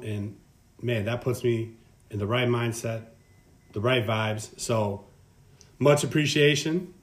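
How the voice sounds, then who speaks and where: Male interview host, mid-range voice, chatty and gushing, slangy. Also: mid-range voice. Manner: effusive, slangy, keeps saying "man"; long winding questions. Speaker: podcast host. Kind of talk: casual interview.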